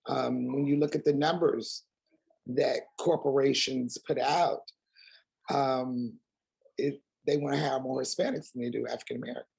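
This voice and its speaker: Raspy, gravelly-voiced woman guest. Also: very deep voice, fairly even intonation, normal speed, darkish tone, poor audio. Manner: emphatic, asks pointed rhetorical questions. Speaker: interview guest. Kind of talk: candid conversational interview.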